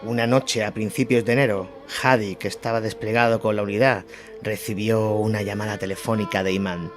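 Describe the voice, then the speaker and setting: A man's voice: lowish voice, steady tone, a little fast. A man reading a scripted documentary-style war narration. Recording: music bed under voice. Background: music.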